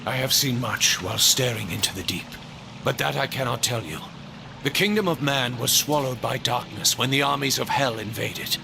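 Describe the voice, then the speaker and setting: Male actor as grave angelic Archon, mid-pitched, very heavy creak, theatrical solemn delivery, video game cinematic, background music. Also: moderate pace, noisy background, lightly acted. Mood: brooding. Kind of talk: video game cutscene acting. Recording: music behind the voice.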